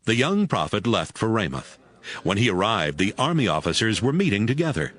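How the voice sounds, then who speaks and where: Low-voiced man narrating expressively. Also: sing-song, brisk, a bit bright. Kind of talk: scripted dramatized Bible narration. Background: music.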